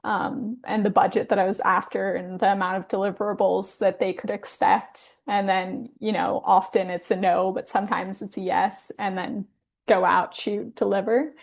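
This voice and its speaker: Woman with a gravelly, relaxed voice. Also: medium pitch, moderate pace. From Hawaii. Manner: relaxed, friendly, filler-heavy speech. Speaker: podcast guest, photographer. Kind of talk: casual conversational interview.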